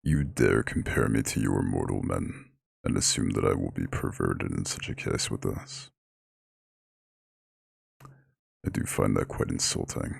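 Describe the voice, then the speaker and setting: A man voicing an ancient eldritch god in an ASMR roleplay, proud and lordly yet tender, with a very deep, husky, gravelly growl. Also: darkish tone, dry recording, clean studio sound, exaggerated voice. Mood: proud, indignant. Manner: lordly, formal, slow comforting address. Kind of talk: scripted ASMR roleplay monologue.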